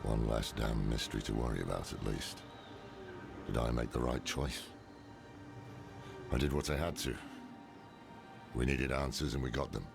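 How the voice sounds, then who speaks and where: An actor playing a gruff, world-weary old demon-hunting scholar, grave and terse, in a dark fantasy video game. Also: male voice, fairly even intonation, moderate pace, long pauses, over music, heavily acted. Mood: weary, brooding. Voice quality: very deep, gravelly.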